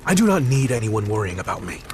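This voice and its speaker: An actor playing a stubborn, defiant soldier captain in a video game, speaking in curt, formal phrases. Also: male voice, lowish voice, big pitch swings, quick pace, background noise. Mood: irritated, determined. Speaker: game protagonist.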